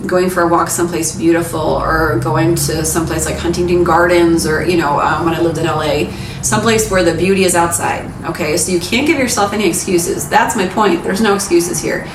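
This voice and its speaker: A woman's voice: on the low side, fairly even intonation, fairly quick. A young adult woman giving a casual pick-a-card tarot reading in a frank, encouraging, chatty way. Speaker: tarot reader. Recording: echoey room, mic some distance away, background noise.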